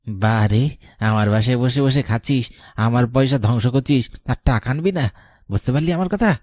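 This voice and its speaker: A cruel, greedy husband, acted by a man in a cartoon melodrama. He bullies with open contempt and threat, at an average male pitch and with a dry sound. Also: brisk, darkish tone, no echo, natural voice. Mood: bullying angry. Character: cruel, greedy, abusive husband demanding money.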